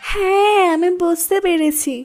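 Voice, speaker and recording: Coy young Bengali college girl, played by a woman, cartoon comedy, very high and unhurried, teasing, music underneath. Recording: loud music behind the voice.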